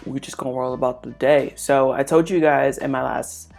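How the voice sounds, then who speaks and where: Congested young woman rambling thru a makeup tutorial, bit of gravel in the voice, faint music behind. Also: rumbling low, normal speed. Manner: chatty, relaxed, lots of fillers. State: stuffed up, nasal congestion. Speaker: beauty vlogger. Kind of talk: casual makeup tutorial chat.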